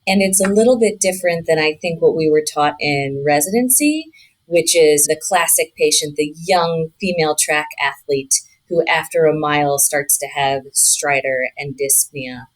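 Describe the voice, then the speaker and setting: A woman's voice: low voice, normal speed, rough recording. A woman, a physician guest, explaining in an interview.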